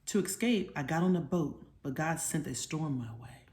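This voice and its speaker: A woman's voice: sits low, lively intonation, moderate pace, darkish tone. A woman voicing an eager young boy in a storybook, dramatically retelling his part in a Bible play. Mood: eager, dramatic.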